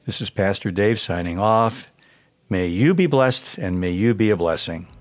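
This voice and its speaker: An American man, a pastor, reading a scripted devotional in a measured, earnest manner. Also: fairly low pitch, animated.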